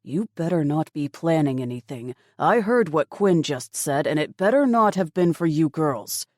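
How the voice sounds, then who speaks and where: A woman's voice: sits low, animated, moderate pace, a little mellow, dry recording, studio quality. A woman voicing a stern, worried father scolding his daughter over a radio. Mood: stern warning.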